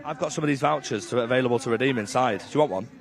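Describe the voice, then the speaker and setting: A man's voice: mid-range voice, racing, creaky. A man working as a roving presenter, chatting directly and upbeat with fans in live outdoor interviews. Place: outdoors outside a stadium.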